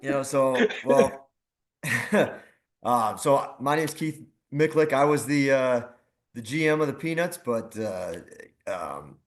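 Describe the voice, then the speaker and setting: A middle-aged man chatting casually as a guest, with an easygoing, halting way of talking. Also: medium pitch, expressive. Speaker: guest, athletic director and former team general manager. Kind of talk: casual chat.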